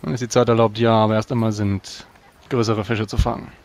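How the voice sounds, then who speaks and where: A man's voice: lowish voice, flat delivery, fairly quick, slight background noise, natural voice. A man playing a proud, weary knight with a formal, commanding manner.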